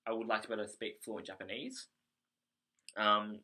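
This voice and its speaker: This is an Australian man with a fairly low voice that has a very bright, forward edge. He talks as if recording a personal video diary, unscripted and hesitant. He tends to ramble and rework his phrases as he goes. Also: normal speed. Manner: hesitant, rambling, self-correcting. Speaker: vlogger, English teacher abroad.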